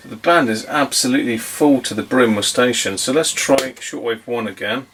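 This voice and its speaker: A man's voice: mid-range voice, moderate pace, heavy background noise. A man giving a casual hands-on demonstration, thinking aloud as he goes. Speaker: vintage radio hobbyist presenter.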